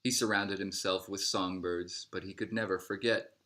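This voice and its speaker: Male storybook narrator, lower-pitched, scripted read-aloud delivery, measured phrasing with falling line endings. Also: fairly even intonation, pushed and bright. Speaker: storybook reader.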